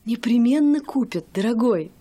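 A bright-toned, gushing young wife in a radio play who dotes on her husband, her voice sitting a little high for a woman. Her talk is eager and affectionate, full of tender fuss and excitement, as an actress plays her. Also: normal speed, husky. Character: young wife, a music student, eager and doting.

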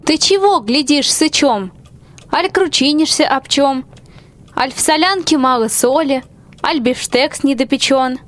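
A woman's voice: sits high, unhurried. A woman playing a caring yet commanding magical young wife in a rhymed fairy-tale radio play. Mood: concerned. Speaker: student radio-play actor.

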